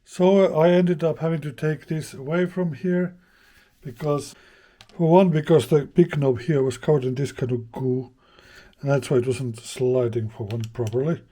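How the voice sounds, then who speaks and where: Mellow-voiced man talking through a repair. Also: fairly high pitch, steady tone, moderate pace. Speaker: host of a vintage radio repair channel. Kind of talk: hands-on repair demonstration, casual narration.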